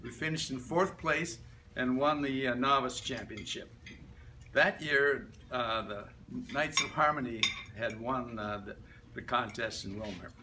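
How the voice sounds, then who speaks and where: An older man reads a prepared reminiscence aloud to a group, halting between short phrases. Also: medium pitch. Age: older, about 70s to 80s. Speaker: club member giving a life-story speech. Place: medium-sized indoor meeting room. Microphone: camera a few metres away. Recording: distant room mic with background noise.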